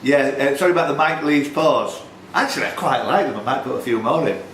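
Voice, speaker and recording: A man's voice: medium pitch, brisk, fairly bright tone. A man hosting a pub quiz, reading out questions and scores informally, with chatty asides. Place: indoor pub. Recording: roomy pub recording with background noise.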